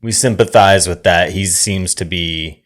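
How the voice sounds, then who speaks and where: A man co-hosting a film podcast, talking casually in an informal, halting, thoughtful way. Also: low voice, fairly bright tone. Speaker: podcast co-host discussing films. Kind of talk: casual chat analysing a film.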